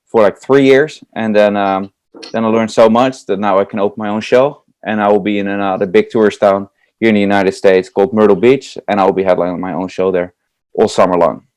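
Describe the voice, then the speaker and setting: A man's voice: fairly low pitch, fairly even intonation, brisk, a little mellow, dry recording. A young Dutch man with a Dutch accent, a juggler answering interview questions in an eager, chatty way with many fillers. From Netherlands. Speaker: guest, comedy juggler.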